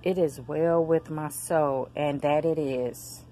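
A woman, filming a casual shopping vlog on a handheld phone, chatting excitedly to her viewers. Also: sits low, slow, a little hiss or hum. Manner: chatty, excited exclamations, addresses viewers as y'all and girl. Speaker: vlogger. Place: large discount store aisles, indoors. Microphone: handheld phone camera.

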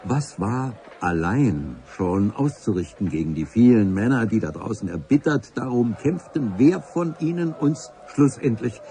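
An actor playing a solemn, pedantic civil servant who narrates a radio play in formal, wordy sentences with a husky voice. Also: male voice, mid-range voice, very animated, warm tone. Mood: solemn, wry. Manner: formal, wordy, dramatic pauses.

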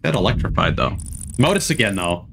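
A man's voice: lowish voice, big pitch swings, a little fast, vocal fry, a bit bright, a little hiss or hum. A man giving casual live commentary as a streamer watching a showcase.

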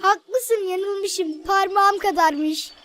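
A woman's voice: very high-pitched, extremely slow, fairly bright tone, a little hiss or hum, full character voice. A woman voicing a friendly, teasing little boy in a children's cartoon, with eager, simple childlike speech. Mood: apologetic.

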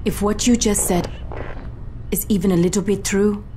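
An actress playing a steady woman who leads a group of survivors in a video game. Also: medium pitch, moderate pace, slight background noise, natural voice. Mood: serious. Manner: calm and direct.

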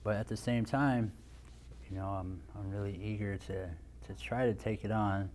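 A man in his thirties talks easily into a lapel mic on a studio set. His voice sits very low and sounds mellow. He rambles, restarting sentences and leaning on "you know", over a faint hiss behind him. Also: fairly even intonation, normal speed. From Nebraska, USA. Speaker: guest, dance instructor. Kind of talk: casual interview talk.

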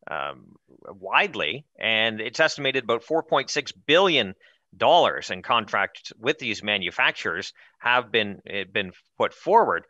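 A man in his thirties hosting a political talk show over a headset mic, emphatic and animated in delivery. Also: fairly low pitch, unhurried, no echo, muffled recording. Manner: energetic, emphatic, gestures while talking. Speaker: show host. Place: studio with branded backdrop, indoors.

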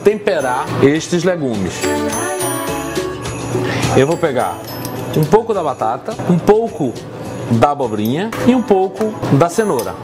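A man's voice: a little high, very animated, unhurried, long pauses, loud music behind the voice. A middle-aged man, a chef and TV presenter, chatting at length in an interview in a restaurant and then explaining a recipe step by step in a kitchen, on a lapel mic. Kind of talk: interview then cooking demonstration. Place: small restaurant dining room, then kitchen.

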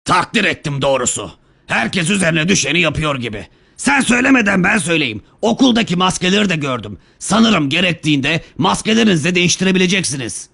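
Cartoon villain: a sardonic virus chief, scheming and mocking, raspy fry, highly animated. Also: male voice, quick pace, full character voice. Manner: theatrical, sardonic. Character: cocky, scheming male virus boss, mocking and easily alarmed.

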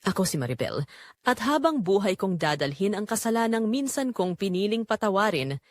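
A woman playing a remorseful middle-aged mother-in-law, telling her confession as an emotive, deliberate audio drama. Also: mid-range voice, big pitch swings, no echo, lightly acted. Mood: solemn, remorseful. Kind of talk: dramatic first-person audio drama narration.

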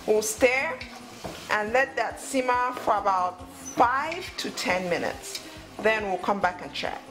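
A woman's voice: mid-range voice, animated, slow. A woman hosting a cooking show, giving step-by-step instructions in short phrases. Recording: music under the voice.

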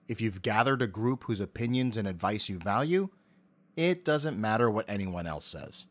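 Articulate middle-aged man, measured delivery. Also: fairly low pitch. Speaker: interview guest, amateur voice actor. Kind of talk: prepared interview answers. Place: home office. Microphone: cardioid dynamic mic with pop filter, on a stand.

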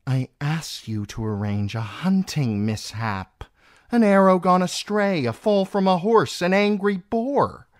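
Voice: mid-range voice, very animated, takes it slowly, darkish tone, no echo, clean studio sound, pitched above the performer's own voice. A narrator voicing a proud, impatient, scheming queen in an audiobook, with an imperious, cutting and scornful manner.

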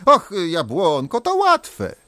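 A man voicing a luckless, impatient wanderer in a storybook audio drama, earnest and excitable. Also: high voice, slow pace, dry recording, voice pushed up, heavily acted. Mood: hasty. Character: luckless, impatient male wanderer seeking his fortune.

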